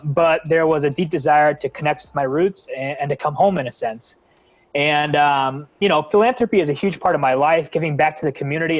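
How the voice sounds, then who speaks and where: A young American man speaks earnestly in a guest interview, his talk full of fillers and restarts. His voice sits a little high. The sound is dull and lo-fi, like a video call. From San Francisco Bay Area. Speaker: nonprofit founder, guest.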